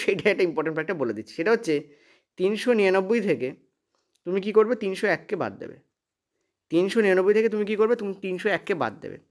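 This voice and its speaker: Male maths tutor. High-pitched, rapid delivery, emphatic repetition, frequent check questions. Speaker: maths teacher. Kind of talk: exam-prep lesson, explaining step by step.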